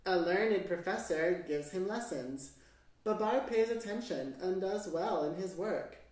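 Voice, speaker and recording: A woman's voice: on the low side, expressive, unhurried, darkish tone. Very echoey, distant storybook narrator, a woman. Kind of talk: expressive children's read-aloud narration. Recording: very echoey room, distant mic, music. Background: piano music.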